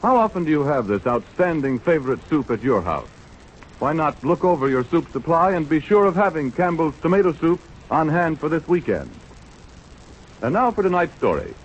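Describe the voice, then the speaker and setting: A man's voice: a little high, very animated, moderate pace, a little hiss or hum. A man with an American accent announcing and advertising in polished, emphatic 1930s radio style. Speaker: radio announcer and sponsor's pitchman. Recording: dull vintage 1930s radio recording.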